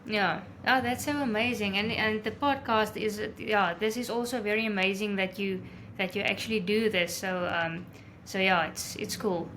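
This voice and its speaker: A woman from South Africa whose first language is Afrikaans, a guest in an interview, friendly and quick to laugh, with hesitant fillers. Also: mid-range voice, steady tone, fairly bright tone, a little hiss or hum. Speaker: guest, photographer and travel blogger. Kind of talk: casual interview chat.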